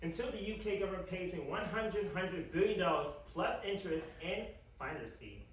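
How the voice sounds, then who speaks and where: A man playing a smug, greedy, wicked scientist in an animated comedy table read, stammering and brushing others off. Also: high-pitched, moderate pace. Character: smug wicked male mad scientist, greedy, childishly defensive, scheming. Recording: roomy echo, distant mic, faint hiss.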